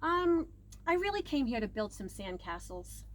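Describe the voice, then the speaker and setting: A woman's voice: fairly high pitch, sing-song, normal speed. A woman voicing an imaginative little girl from a children's storybook, hesitant yet plucky, with emphatic childlike delivery. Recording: clear background noise.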